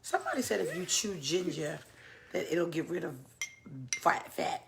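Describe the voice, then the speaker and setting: Hoarse, gravelly woman greeting viewers. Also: fairly low pitch, normal speed, a bit bright, a little hiss or hum. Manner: playful, greets viewers by name. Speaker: co-host of a church live stream. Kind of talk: casual live-stream chat.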